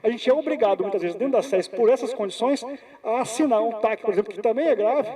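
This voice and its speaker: A man's voice: extremely high pitch, fast, warm tone. A man arguing a point in an association assembly, often repeating words as he circles his argument. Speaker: association member in debate. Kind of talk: assembly debate.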